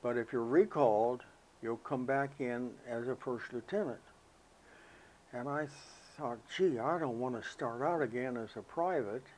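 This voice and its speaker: An elderly American man recalling his life as a veteran in an interview, speaking in a halting, reflective, unhurried way. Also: medium pitch, a little mellow, poor audio. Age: elderly, 80s or older. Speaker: interviewee, war veteran recalling military service. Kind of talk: oral history interview, personal recollection.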